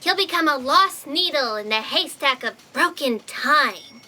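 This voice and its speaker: A woman playing an eager, anxious fact checker in an audio drama comedy, excitable and dramatic. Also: high voice, a little slow, heavily acted. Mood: worried, dramatic.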